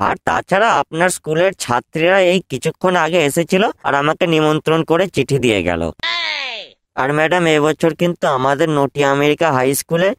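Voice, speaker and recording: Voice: medium pitch, a little fast, dry recording, clean studio sound, close to an everyday voice. A performer voicing a courteous, eager male schoolteacher in a comic cartoon, polite and obliging, with music underneath.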